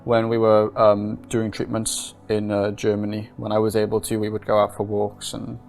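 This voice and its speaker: A young man in his twenties answers interview questions with a low voice. He speaks in a calm, unhurried way and pauses often as he thinks. His voice has a gravelly edge, and faint music plays behind him. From Wales and Norway. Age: 20s. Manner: calm, unhurried, with frequent hesitations. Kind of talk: casual personal testimony.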